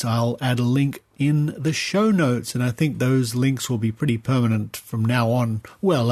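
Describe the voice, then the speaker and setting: A man's voice: medium pitch, darkish tone, breathy edge. An Australian man hosting a podcast in a chatty, conversational way, given to rambling asides. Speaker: podcast host.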